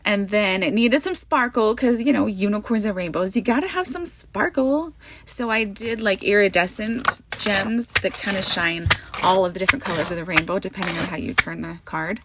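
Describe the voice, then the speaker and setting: A woman whose voice is worn hoarse by a cold talks casually through her card making. She rambles and pokes fun at herself in a middle-pitched, easygoing voice. Faint background noise and small clicks sit under the speech. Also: moderate pace. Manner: rambling, chatty, self-mocking. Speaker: card maker.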